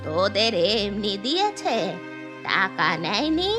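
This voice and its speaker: A woman's voice: medium pitch, very animated, slow, music in the background. A woman voicing a nosy, inquisitive village neighbour in a children's cartoon, full of exclamations and questions. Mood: coaxing, surprised. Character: nosy village women, from chatty neighbour to money-minded granny. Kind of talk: Bengali children's cartoon voice acting.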